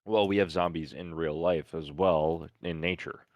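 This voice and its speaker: A man's voice: low-pitched, steady tone, a little slow, no room sound, highs cut off. A man with a Midwestern accent, hosting a casual podcast chat, talking easily with fillers and repeated words. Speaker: podcast host.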